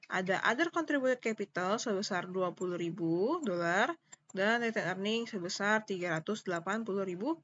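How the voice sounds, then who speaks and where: A woman lecturer with an Indonesian accent explains things informally, one step at a time. Her voice is bright and forward, at an average pitch for a woman. She repeats key points and often checks in with short questions, on a slightly muffled, lo-fi recording. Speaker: accounting lecturer.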